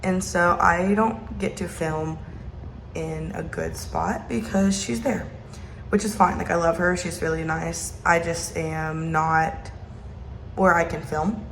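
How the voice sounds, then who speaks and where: A woman's voice: lowish voice, steady tone, slow pace, gravelly, a bit bright, slight background noise. A young woman in college, chatting casually to camera as a vlogger, rambling as she goes, filmed on a phone propped on a bathroom sink. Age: young adult. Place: small bathroom. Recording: echoey small bathroom.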